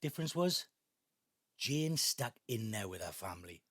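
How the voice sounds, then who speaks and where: Husky, bitter son. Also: male voice, fairly low pitch, big pitch swings, moderate pace, fairly bright tone, dry recording, studio quality, full character voice. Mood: resentful. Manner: sarcastic, accusing. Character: bitter, mocking grown-up son. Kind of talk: naturalistic audio drama acting.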